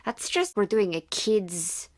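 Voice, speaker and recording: A woman's voice: mid-range voice, sing-song, takes it slowly. A woman playing a reluctant amateur actress in a video game, grumbling between overwrought, mournful lines declaimed as a goddess. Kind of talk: video game acting, slow declaimed verse and complaints.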